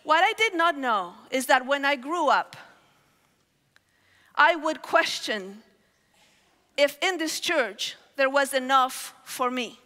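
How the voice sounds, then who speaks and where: A middle-aged woman preaches on a big hall stage through a headset mic. Her voice is high and bright, her storytelling animated. The long reverb of the hall trails each phrase. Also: slow pace, long pauses. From Argentina. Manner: animated storytelling with gestures, calls on the crowd. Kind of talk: sermon. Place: large hall stage with audience.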